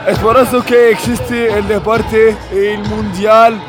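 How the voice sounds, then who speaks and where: A young man from Tunisia speaks eagerly in a high voice, often shouting and stumbling over words in a language that is not his first. He is interviewed outdoors at a fan festival, with loud music and a cheering crowd all around. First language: not Spanish. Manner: excited, often shouting, halting Spanish with restarts. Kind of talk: street interview.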